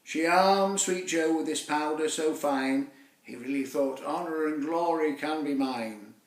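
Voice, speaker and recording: A man recites a children's story poem in a roomy, echoing space. He sits a little away from the microphone. His delivery is slow and deliberate, with theatrical storytelling phrasing. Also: fairly high pitch. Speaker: poet reading his own children's poem. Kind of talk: dramatic rhymed storytelling for children. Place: echoey room, away from the mic. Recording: roomy echo, distant microphone.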